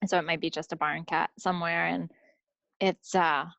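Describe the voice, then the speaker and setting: A woman's voice: lowish voice, level tone, moderate pace, creaky, a bit bright, dry recording. A woman co-hosting a podcast, telling everyday stories in casual, chatty style. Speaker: podcast co-host. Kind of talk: casual chat.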